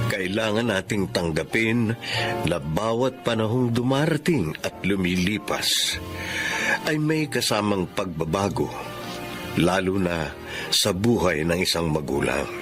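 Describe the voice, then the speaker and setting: A man playing a reflective father who narrates his life story in a Filipino radio drama. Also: lowish voice. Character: father, charcoal maker, reflective. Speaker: lead character and narrator. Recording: radio drama with music behind.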